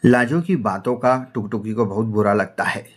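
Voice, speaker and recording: A man giving scripted narration for an animated children's story. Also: lowish voice, normal speed.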